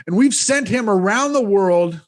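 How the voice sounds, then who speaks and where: A man with a high voice co-hosts a comedy podcast over a video call. He talks quickly and rambles through his riffs, laughing often. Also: lively intonation. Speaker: co-host.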